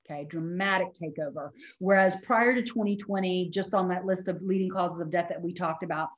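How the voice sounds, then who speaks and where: A middle-aged woman with a loud, projecting voice, lecturing casually over slides on a video call from home. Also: lowish voice, a little fast, reverberant. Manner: casual chatty teaching with fillers. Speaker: university lecturer. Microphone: laptop mic on video call.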